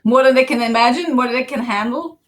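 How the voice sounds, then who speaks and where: A woman's voice: fairly high pitch, fast talker. A woman hosting an online talk show, interviewing guests in an upbeat way and laughing often. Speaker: host. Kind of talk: casual online show chat.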